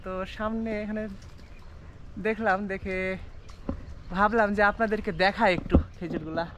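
A man's voice: high voice, moderate pace, a bit bright. A man narrating a casual village walk vlog, chatting to viewers and asking locals questions. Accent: Bengali. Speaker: travel vlogger. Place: outdoors in a village. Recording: noisy outdoor recording.

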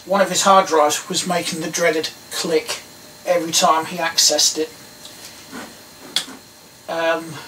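A man's voice: sits high, fairly bright tone. A middle-aged man with an English accent gives a casual hands-on tutorial, rambling and thinking aloud in a small indoor workroom. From England. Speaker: host of a DIY electronics video. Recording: distant mic, some background noise.

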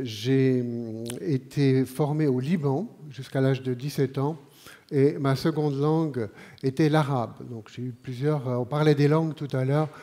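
A man in his sixties from Switzerland with a Swiss French accent, giving a conference talk on a large auditorium stage with a headset mic, speaking in a measured, unhurried way with pauses and fillers. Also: medium pitch, mellow.

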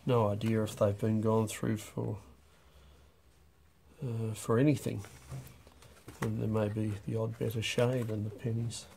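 A man talking through a stamp auction lot in a dry, unhurried way, with wry asides, recorded over a desk. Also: on the low side, little pitch movement, creaky, dark tone, a little hiss or hum. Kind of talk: off-the-cuff lot walkthrough. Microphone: overhead camera on a desk.

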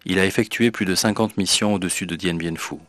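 Deep-voiced male documentary narrator. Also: fairly quick, a bit bright, no room sound. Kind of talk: scripted narration. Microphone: voice-over studio mic.

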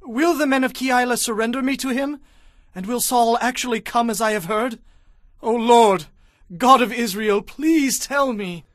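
Earnest young warrior leader in a bible drama, really high pleading voice, dry. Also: male voice, a little slow, dry recording. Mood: pleading, prayerful. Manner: fervent, pleading. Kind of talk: dramatized audio Bible acting.

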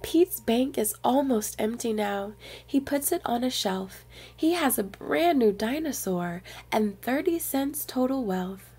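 A woman reading a picture book aloud to young children in an expressive storyteller's manner. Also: on the high side, a little slow, husky.

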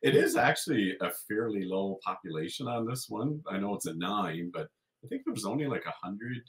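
A man's voice: fairly low pitch, lively intonation, brisk, distant mic. A man co-hosting a casual podcast, easygoing and chiming in with short remarks over a video call. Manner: brief agreeing interjections, easygoing. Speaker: podcast co-host.

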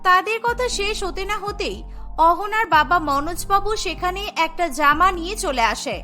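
A woman's voice: very high-pitched, normal speed, pushed and bright, faint music behind. A woman narrating a scripted cartoon story.

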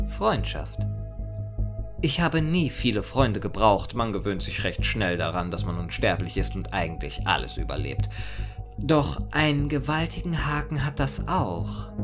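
A performer voicing an immortal, mischievous chaos creature in a theatrical fan audio monologue, mock-formal, sardonic and playfully rambling. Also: medium pitch. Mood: musing, wry. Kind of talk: theatrical first-person character monologue in a fan audio story.

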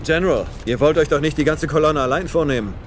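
A man's voice: fairly high pitch, fast, slight background noise, natural voice. A man playing an anxious, dutiful clone soldier in an audio play, with an urgent military manner. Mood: doubtful. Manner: clipped, urgent military address. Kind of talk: dramatised audio play acting.

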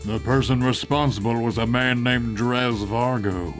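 A man's voice: mid-range voice, fairly even intonation, unhurried, soft background music, lightly acted. Man playing a stern older police chief. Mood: grave briefing. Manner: matter-of-fact, authoritative. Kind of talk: audio drama acting.

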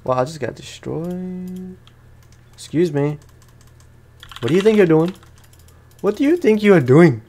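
A man's voice: high-pitched, lively intonation, measured pace, pauses often, mellow. A man giving casual let's play commentary on a video game, chatting to viewers in slangy language. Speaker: gaming YouTuber.

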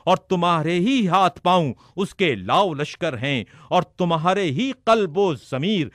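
A man reading a sermon text aloud in a measured, formal recitation. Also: sits high, animated, dry recording, studio quality. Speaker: reader of religious sermons.

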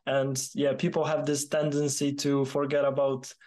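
Young Polish man, very even pitch. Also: normal speed. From Poland. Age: 20s. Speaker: interviewer. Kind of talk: conversational video interview. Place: small room, indoors, video call.

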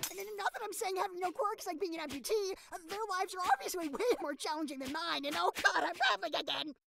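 Performer as earnest teenage boy, high voice, rambling, apologetic, anime parody dub, music underneath. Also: quick pace, gravelly, sharp tone, full character voice. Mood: nervous rambling. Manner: hesitant, rambling, apologetic, self-correcting. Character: earnest, nervous, rambling teenage boy who idolizes heroes.

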